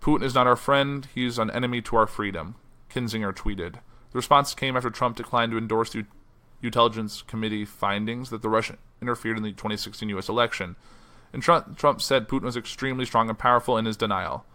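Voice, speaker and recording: A man hosting a solo news podcast. He reads news quotes aloud and chats loosely between them, and his voice is going hoarse from a stressful week. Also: medium pitch, fast talker. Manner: reads quotes in a flat newsy voice, then chats loosely with fillers. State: losing his voice. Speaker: podcast host. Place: house.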